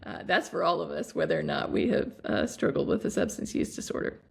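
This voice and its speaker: A woman hosting a podcast conversation, speaking thoughtfully with frequent pauses and restarts. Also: deep voice, animated, gravelly, breathy edge. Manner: thoughtful, many fillers and restarts. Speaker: podcast host. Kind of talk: reflective conversation.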